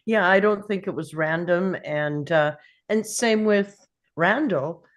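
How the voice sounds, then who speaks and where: A woman in a casual group discussion of a TV series, speaking thoughtfully and pausing to find her words. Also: on the low side.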